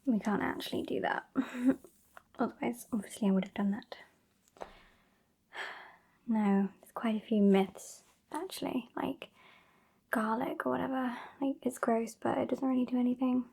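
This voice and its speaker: Female performer as haughty, teasing British vampire woman, ASMR roleplay. Soft whispery delivery, dark mellow tone, creaky edges, dry and bossy. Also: on the high side, steady tone, normal speed, pauses often. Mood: dry, dismissive. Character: sassy, bossy female vampire, over a century old.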